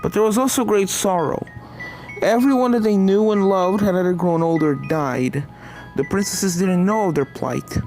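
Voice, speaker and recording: A woman's voice: mid-range voice, moderate pace, a little mellow, soft background music, low-quality recording. A woman reading fan fiction aloud as scripted story narration.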